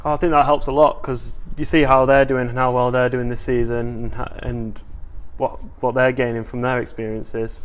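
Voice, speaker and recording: Young man, rugby player, flat tone, casual interview, keen. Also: mid-range voice, normal speed, few pauses, clear, resonant tone. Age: young adult. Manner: casual, filler-heavy, keen.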